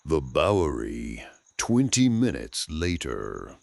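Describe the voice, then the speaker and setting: Man as a very deep, gravelly title announcer. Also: very animated, takes it slowly, no echo, heavily acted. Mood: portentous. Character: ominous deep comic-book title announcer. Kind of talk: dramatic scripted announcement.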